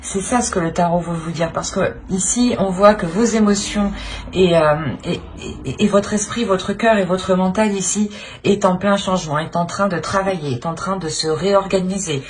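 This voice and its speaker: A woman's voice: lowish voice, moderate pace, slight background noise. A woman giving an unscripted tarot reading to viewers, hesitant and searching for words as she repeats phrases. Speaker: tarot reader and channel host.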